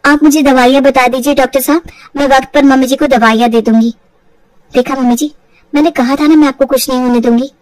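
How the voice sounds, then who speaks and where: A young daughter-in-law in an audio storybook , acted by a woman, with a high, expressive voice. She sounds earnest, attentive and a little put-upon, in a very dry recording. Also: brisk, dry recording, close to an everyday voice, rough recording. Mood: reassuring.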